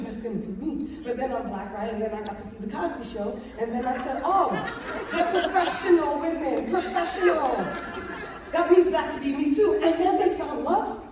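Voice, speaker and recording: A middle-aged woman telling her story on stage as a motivational speaker, animated, tagging her lines with "right?". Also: sits high. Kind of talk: storytelling talk on stage with slides. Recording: echoey hall from far off.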